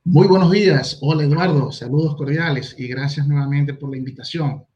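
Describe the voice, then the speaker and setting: A man's voice: a little high, moderate pace, a little mellow. A man with a Venezuelan accent giving courteous, slightly formal answers as an interview guest. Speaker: guest, university lecturer and property investor. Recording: dull, lo-fi call audio.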